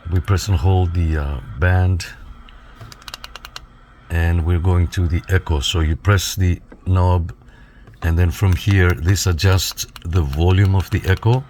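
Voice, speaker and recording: A man giving a casual, hands-on product walkthrough, explaining step by step and often checking in with a quick okay. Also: low-pitched, fairly even intonation, darkish tone, a little hiss or hum. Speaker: radio reviewer, demonstrator.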